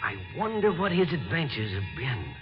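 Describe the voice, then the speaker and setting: A man's voice: fairly high pitch, big pitch swings, normal speed, faint music behind. A man acting an astonished, commanding scientist colleague in an old-time radio drama. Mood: amazed. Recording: muffled old radio recording.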